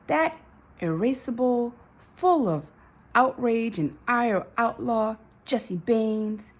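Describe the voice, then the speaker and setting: A woman's voice: mid-range voice, slightly rough. A woman reading a children's storybook aloud as narrator, in a dramatic, emphatic storytelling manner. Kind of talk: expressive children's read-aloud.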